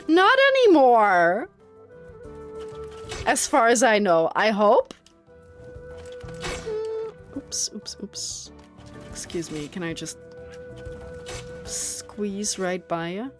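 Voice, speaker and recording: A woman's voice: medium pitch, wildly swooping pitch, drawn-out words, pauses often, music in the background. A young woman from the Netherlands, a native Dutch speaker, chatting casually and rambling with many fillers while streaming a game, often breaking into giggles. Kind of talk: casual chat while gaming.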